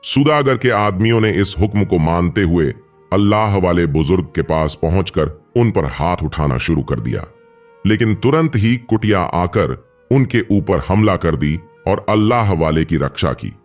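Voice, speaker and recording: A man's voice: sits low, animated, measured pace. A man narrating a scripted, dramatic moral tale as a storyteller. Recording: music bed behind the narration. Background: background music.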